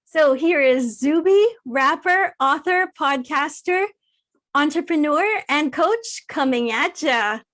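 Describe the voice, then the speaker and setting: A woman's voice: high voice, slow pace, a bit bright. A woman hosting an interview, asking polite, formal questions. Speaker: host.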